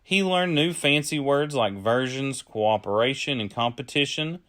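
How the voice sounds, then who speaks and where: A man reading a children's story aloud as a storyteller, with deliberate phrasing. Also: medium pitch, animated, a bit bright. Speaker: storyteller, early childhood educator.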